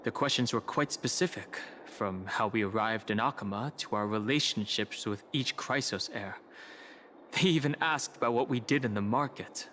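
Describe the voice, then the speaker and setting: An actor playing a cautious, loyal young traveling companion in a video game, speaking in a measured, thoughtful way. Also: male voice, fairly low pitch, a bit bright, a little hiss or hum, natural voice. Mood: wary, pondering. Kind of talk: video game voice acting, conversational dialogue. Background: background music.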